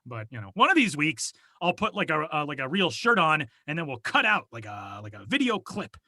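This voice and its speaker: A man's voice: fairly high pitch, very animated, fairly quick, pushed and bright, dry recording. A man co-hosting a podcast, chatting casually and joking about himself, recorded in a small soundproofed closet studio. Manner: rambling, self-deprecating, joking. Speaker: podcast co-host. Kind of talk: casual chat. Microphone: home studio mic over video call.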